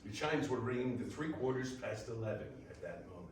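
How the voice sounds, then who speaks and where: A man narrates a classic tale with dramatic, measured pauses. His mid-pitched voice carries from a distance in a very reverberant room with faint noise. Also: animated. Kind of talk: dramatic scripted story narration.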